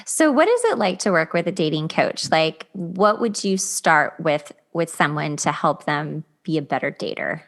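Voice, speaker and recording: A woman speaks as the host of an interview podcast. Her voice sits a little low for a woman, and her delivery is casual and conversational. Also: big pitch swings, normal speed, few pauses.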